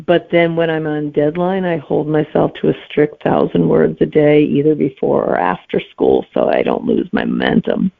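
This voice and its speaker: Middle-aged woman, creaky, on a phone-like line. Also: low voice, lively intonation, moderate pace, hardly any pauses. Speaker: guest author and teacher. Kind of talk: casual interview chat. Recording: narrow, tinny phone-like line.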